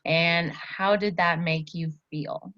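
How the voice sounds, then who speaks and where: Slow-paced, low-voiced woman teacher. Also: steady tone, a bit bright, highs cut off. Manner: encouraging, simple explanations to a pupil. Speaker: teacher leading a reading lesson. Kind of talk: instructional talk and story read-aloud.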